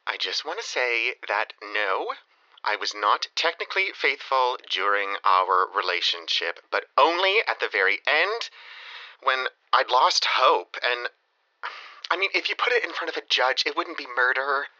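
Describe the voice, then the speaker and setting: An actor playing a rambling, self-pitying lovesick ex-boyfriend in his thirties, halting and confessional, in an audio comedy drama. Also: medium pitch, big pitch swings, bright, forceful tone, highs cut off, exaggerated voice. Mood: regretful, pleading. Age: 30s.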